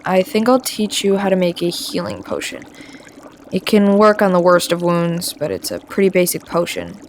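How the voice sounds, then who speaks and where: A woman's voice: fairly low pitch, slight background noise. A woman voicing a mischievous male trickster witch who teaches potion-making in an audio roleplay. The witch snickers, teases and stumbles over hesitant fillers. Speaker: potion tutor.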